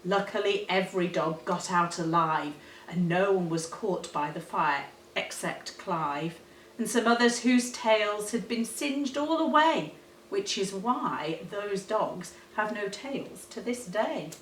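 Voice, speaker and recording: Female storyteller for rhyming children's tale, expressive and deliberate, recorded at a distance in a roomy space. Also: medium pitch, a little hiss or hum. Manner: animated, dramatic, deliberate storytelling. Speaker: teacher reading a storybook. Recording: roomy, echoey, distant microphone.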